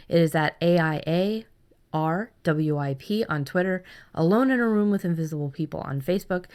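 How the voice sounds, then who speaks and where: Low-pitched woman in casual podcast chat. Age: 30s. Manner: effusive, emphatic with repetition. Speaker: podcast co-host.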